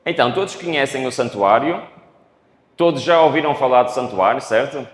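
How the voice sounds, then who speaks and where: A man's voice: medium pitch, lively intonation. A man from Portugal with a European Portuguese accent, preaching a Bible study and asking the audience questions. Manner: unhurried, asks the audience questions. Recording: echoey hall.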